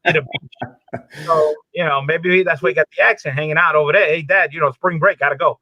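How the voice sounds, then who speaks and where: A man's voice: a little high, fairly even intonation, fairly quick, no room sound. A man hosting a casual live chat in an easygoing, loosely phrased conversational way, with muffled sound.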